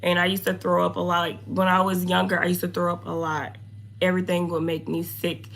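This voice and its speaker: A young woman with a hoarse, gravelly voice talks loosely and chattily to her live viewers, drifting from topic to topic. Her tone is bright and a little sharp, sitting at an ordinary pitch. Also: completely flat delivery, a little fast. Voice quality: low, slightly deep voice. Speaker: makeup streamer. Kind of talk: casual livestream chat reading comments.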